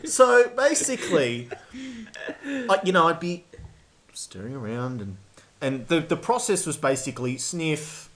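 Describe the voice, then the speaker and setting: A man on a podcast, giving an animated, mock-exasperated comic rant and acting out quotes in casual chat. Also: on the high side, measured pace, pauses often. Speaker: podcast co-host.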